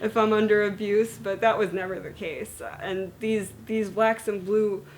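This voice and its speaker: A woman's voice: medium pitch, fairly even intonation, unhurried, slight background noise, low-quality recording. A woman in her thirties, born in Germany and raised in the United States and Hungary, talking candidly to camera about her own story, with fillers and self-corrections. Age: 30s. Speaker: vlogger sharing her illness story. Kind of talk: personal talk to camera.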